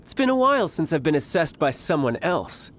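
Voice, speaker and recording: A man voicing a cocky young warrior in a video game, smooth-talking, smug and formally worded. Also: a little high, sing-song, a little fast, slight background noise. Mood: confident, playful. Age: young adult. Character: cocky, theatrical young male warrior posing as toy salesman.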